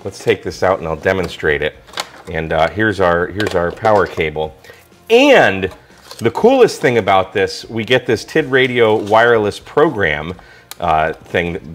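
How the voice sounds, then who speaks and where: Deep voiced american guy doing a casual gadget review, chatty and into it. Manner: enthusiastic, colloquial. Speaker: product reviewer.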